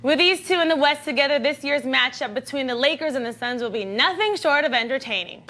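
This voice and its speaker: A woman with a high, bright voice presents snarky scripted entertainment news. She is brash and slangy, leaning into sarcasm, with light music running underneath. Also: lively intonation, a little fast. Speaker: host of an online video news show.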